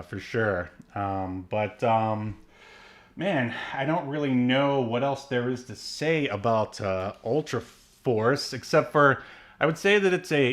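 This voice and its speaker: Rambling, filler-heavy man co-hosting. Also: medium pitch. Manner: rambling, many fillers and restarts. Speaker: podcast co-host. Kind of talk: casual chat.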